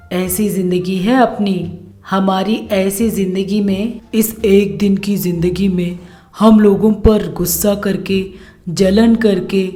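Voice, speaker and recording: A woman voicing a calm, gentle young married son in a storybook cartoon, reasoning patiently and philosophically. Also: mid-range voice, lightly acted. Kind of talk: animated storybook voice acting.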